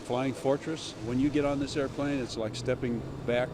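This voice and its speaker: A man's voice: mid-range voice, steady tone, normal speed. A man who works as a pilot, speaking conversationally in an interview. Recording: very loud outdoor vehicle noise.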